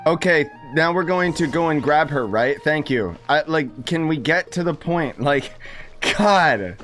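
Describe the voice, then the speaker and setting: Impatient streamer, expressive mid-pitched voice, dry sarcasm, off-the-cuff commentary. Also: measured pace. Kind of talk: casual reaction commentary over game. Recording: game music behind the voice.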